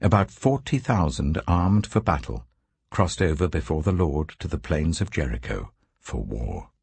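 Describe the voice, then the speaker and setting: Deep, husky male scripture narrator. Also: big pitch swings, darkish tone. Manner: solemn, measured storytelling with deliberate phrasing. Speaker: Bible narrator.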